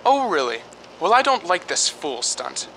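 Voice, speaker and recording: Radio drama property owner played by a man: curt, stingy, skeptical, animated pitch, noisy background. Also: unhurried, gravelly, exaggerated voice. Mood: grumpy. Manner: curt, grudging, querulous. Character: grumpy, skeptical, penny-pinching male property owner.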